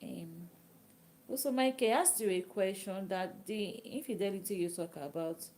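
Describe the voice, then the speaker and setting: A Nigerian woman whose native language is Yoruba, chatting casually with viewers on a live stream, sometimes dropping to a soft whisper. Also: mid-range voice, normal speed. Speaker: livestream host. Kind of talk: casual live chat reading comments.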